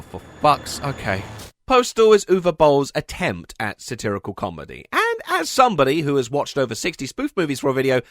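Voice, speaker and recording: A man's voice: fairly high pitch, fairly bright tone, dry recording, clean studio sound. A man delivering a scripted comedic film critique as a voice-over, sarcastic, emphatic, exasperated and sweary. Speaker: video essayist, film reviewer.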